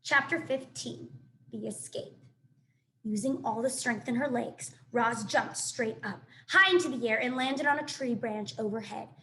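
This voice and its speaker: Lady with a high voice reading a kids book out loud, animated, bit of noise behind. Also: a little slow, low-quality recording. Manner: animated, dramatic sound effects and asides. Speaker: teacher reading a children's book aloud. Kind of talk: expressive read-aloud storytelling.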